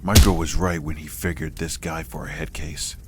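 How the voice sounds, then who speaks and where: Man playing a low-voiced hard-bitten vigilante. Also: steady tone, moderate pace, very noisy. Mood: appraising. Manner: terse, grim, world-weary. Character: hard-bitten male gun-toting vigilante ex-soldier type. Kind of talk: comic dub dramatic narration.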